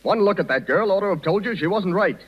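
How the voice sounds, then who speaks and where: An actor playing an impatient, grumbling Hollywood casting director in a 1930s radio sketch. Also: male voice, high-pitched, lively intonation, fast. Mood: irritated. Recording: dull 1930s radio recording.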